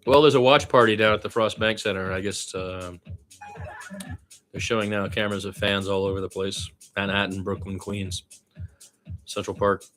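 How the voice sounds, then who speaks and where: A man calling basketball as a partisan radio play-by-play announcer, blunt and opinionated, animated in his delivery and repeating phrases for emphasis. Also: moderate pace, soft background music. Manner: animated, swinging from low asides to loud high calls.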